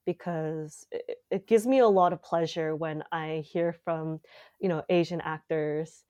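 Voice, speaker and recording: A woman's voice: sits low, fairly even intonation, unhurried, warm tone. A young woman from Canada, a playwright, talking casually in an interview with many filler words. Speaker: playwright guest. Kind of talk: conversational interview.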